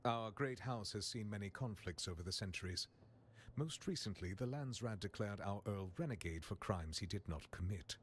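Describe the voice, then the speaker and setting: Actor as deep-voiced, stately noble envoy. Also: male voice, lively intonation, slight background noise, natural voice. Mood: formal, proud. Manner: formal, measured. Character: formal noble house envoy, proud and stately. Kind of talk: scripted game dialogue.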